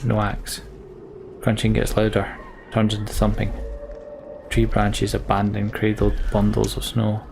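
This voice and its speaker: Unhurried, gravelly-voiced man reading game story. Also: on the low side, monotone, darkish tone, slight background noise. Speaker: let's player voicing a game's text. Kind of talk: gameplay commentary and dramatic read-aloud.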